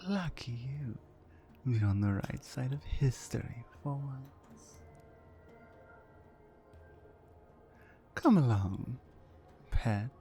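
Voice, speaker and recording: A woman voicing a dominant, mean orc warrior woman in an ASMR roleplay, slow, commanding and condescending, with a low breathy voice that often nears a whisper. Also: lively intonation, dark tone, faint music behind, exaggerated voice. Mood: gloating, condescending.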